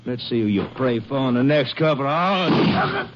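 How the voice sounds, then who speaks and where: A man's voice: mid-range voice, animated, moderate pace, lightly acted. An actor playing a sadistic young male killer in an old radio crime drama, taunting and sneering. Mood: gloating. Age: young adult. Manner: taunting, sneering, cackling laughter. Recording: muffled, very noisy old radio recording.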